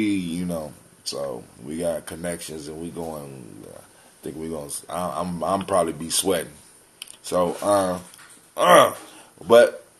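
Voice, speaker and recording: An American man hosting a live community talk show, chatting casually and in a folksy way to his listeners like family. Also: low-pitched. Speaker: host of a live call-in show.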